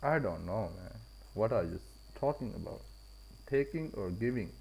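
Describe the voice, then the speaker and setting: A man's voice: fairly low pitch, lively intonation, moderate pace, gravelly, darkish tone, a little hiss or hum, low-quality recording. A man with a Pakistani accent hosting a casual live trading stream, repeating and rephrasing his points for emphasis. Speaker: live-stream forex trader and host.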